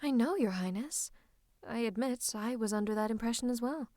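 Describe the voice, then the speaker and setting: A woman playing a scheming royal advisor in a fantasy roleplay, speaking close to the listener in a coaxing, teasing, falsely comforting way with breathy asides. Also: fairly high pitch, moderate pace, dark tone, no room sound, natural voice. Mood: coaxing, patronising. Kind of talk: roleplay ASMR monologue to the listener. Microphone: close microphone.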